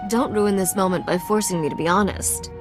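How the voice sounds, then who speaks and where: A woman's voice: medium pitch, a little fast, music in the background, natural voice. An actress playing a sly, sarcastic young woman smuggler and royal heir in a video game, wry, teasing and self-assured. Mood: teasing, affectionate. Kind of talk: scripted video game dialogue.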